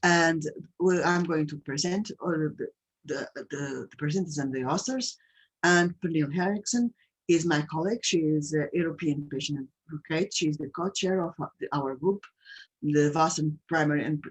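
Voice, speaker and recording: Brief: Portuguese-accented woman presenting a webinar over a computer mic, husky, hesitant, lots of restarts. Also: deep voice, low-quality recording. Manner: halting, many fillers and restarts. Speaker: patient advocate hosting a webinar.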